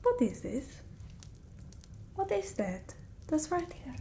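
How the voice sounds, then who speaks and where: Girl doing an ancient dramatic vampire lady for asmr, soft n whispery, real slow, bit of background noise. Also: high voice, very animated, full character voice. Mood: suspicious, alarmed. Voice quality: soft, breathy, often whispered. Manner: halting, theatrical, with quaint phrasing. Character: eccentric centuries-old female vampire, dramatic, doting, superstitious. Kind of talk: ASMR roleplay. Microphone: close ASMR microphone.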